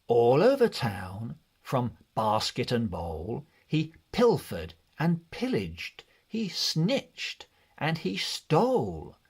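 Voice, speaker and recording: A man narrating a children's read-along storybook in an expressive, deliberate way. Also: mid-range voice, breathy edge. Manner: expressive, deliberate, measured delivery.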